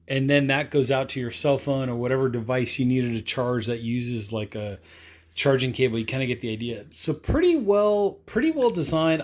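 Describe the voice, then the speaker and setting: A man with a medium-pitched voice presents a hands-on product review. He speaks informally and without a script, rambling a little as he explains each step and talks through his actions aloud. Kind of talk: casual unscripted product demonstration.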